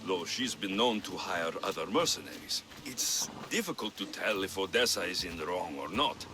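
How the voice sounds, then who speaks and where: An actor playing a cocky, flirtatious Greek mercenary hero in video game cutscenes. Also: male voice, low voice, lively intonation, normal speed. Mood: businesslike. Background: music.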